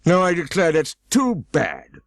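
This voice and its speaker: A man's voice: fairly high pitch, expressive, moderate pace, dry recording, clean studio sound, voice pushed up, lightly acted. A man voicing a pompous, easily offended egg who sits on a wall and boasts grandly. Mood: grandiose.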